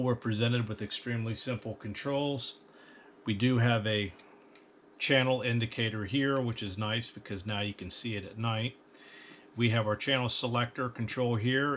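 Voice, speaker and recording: American male reviewer, middle-aged, low pitch, methodical technical delivery, faint noise behind the voice. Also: fairly bright tone. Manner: measured, precise technical explaining. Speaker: host, radio equipment reviewer. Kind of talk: instructional bench review narration. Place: indoor electronics workbench.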